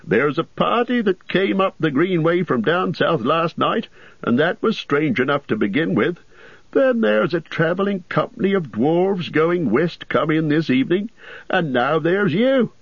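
A man's voice: slightly rough, no room sound, lo-fi sound, heavily acted. Man putting on a fussy, flustered innkeeper voice. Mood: chatty, harried. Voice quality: high, excited, hurried and fussy. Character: bustling, flustered middle-aged male innkeeper, forgetful and talkative.

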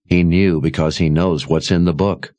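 A man voicing a calm, commanding young lord in a fantasy audiobook, measured and explanatory. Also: low voice, no room sound, lightly acted.

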